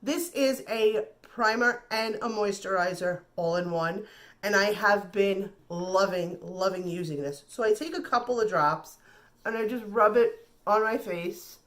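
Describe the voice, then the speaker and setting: A woman's voice: mid-range voice, a little slow. A woman with a slightly nasal voice, getting over the flu, chatting casually and reassuringly through a makeup tutorial. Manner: chatty and reassuring, repeats words. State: recovering from flu. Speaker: beauty vlogger.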